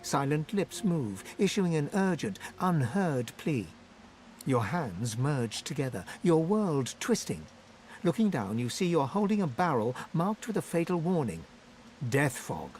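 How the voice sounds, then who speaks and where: Expressive dark-fantasy narrator. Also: male voice, on the high side, measured pace, a little hiss or hum. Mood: solemn, dramatic. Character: solemn, vivid storyteller narrator of a dark fantasy. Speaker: game narrator. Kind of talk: scripted second-person game narration.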